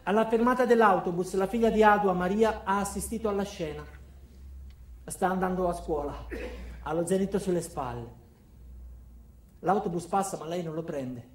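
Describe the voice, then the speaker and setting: A man performing a comic first-person theatre monologue in short clipped phrases with dramatic pauses. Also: sits high. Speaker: stage actor performing a monologue. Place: indoor theatre. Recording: roomy, echoey stage with background noise.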